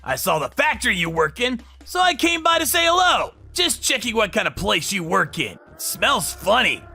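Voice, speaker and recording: A man's voice: sits high, sing-song, normal speed, gravelly, sharp tone, faint music behind, pitched above the performer's own voice, exaggerated voice. A man voicing an arrogant, whining younger brother, an overexcited salesman, in a dramatized story. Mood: mocking. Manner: sneering, overexcited, pleading.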